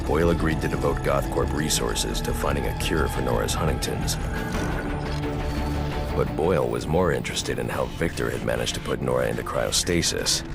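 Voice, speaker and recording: A man playing a grim, brooding vigilante detective in a video game, gravelly, terse and stern in his delivery. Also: a bass voice. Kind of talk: video game cutscene acting.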